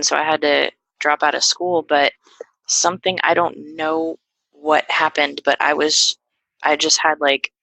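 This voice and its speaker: A woman's voice: low voice, gravelly, sharp tone, no room sound, poor audio. A young woman guest on a podcast, talking casually and hesitantly with lots of fillers and restarts. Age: young adult. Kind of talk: casual conversational interview.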